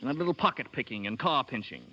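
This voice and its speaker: An actor playing a wry, cool fugitive with a dry, teasing manner, in 1930s radio drama style. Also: male voice, medium pitch, expressive, fast, pushed and bright. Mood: mock-confessional. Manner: dry, mocking. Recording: muffled, hissy 1930s radio broadcast.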